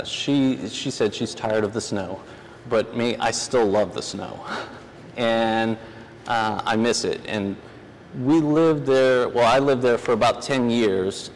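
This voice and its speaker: American man teaching in echoey hall. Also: medium pitch. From New Orleans, United States. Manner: halting, conversational. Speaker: Bible teacher at a church. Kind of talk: informal sermon with scripture reading. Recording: reverberant hall, noisy room ambience.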